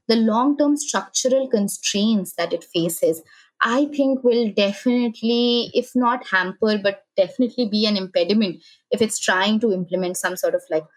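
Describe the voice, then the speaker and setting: A woman's voice: mid-range voice, low-quality recording. A woman from India with an Indian accent, speaking as an analytical podcast guest and explaining things with frequent checking tags. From Calcutta, India. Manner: explanatory, often checks with "right?".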